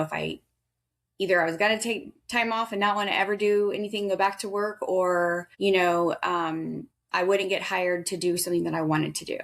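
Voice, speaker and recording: A woman in her thirties, a guest in an interview, talking in a chatty, energetic way with a big voice and often quoting herself. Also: mid-range voice, gravelly. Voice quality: loud, big voice. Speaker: guest, veterans outreach worker. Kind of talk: casual interview talk.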